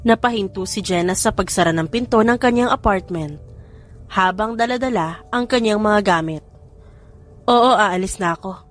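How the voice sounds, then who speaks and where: A woman's voice: mid-range voice, moderate pace. Woman narrating a story over music. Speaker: audiobook narrator. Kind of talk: scripted romance story narration.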